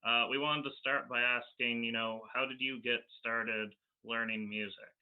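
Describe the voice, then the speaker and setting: A man's voice: medium pitch, fairly even intonation, normal speed. A man speaking casually as a host and interviewer. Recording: tinny, narrow phone-like call audio.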